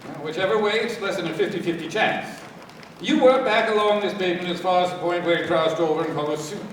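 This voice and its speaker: An actor playing a commanding police inspector in a radio play, brisk and authoritative. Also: male voice, high-pitched, natural voice. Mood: brisk, businesslike. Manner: authoritative, brusque. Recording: echoey room, distant mic, faint noise.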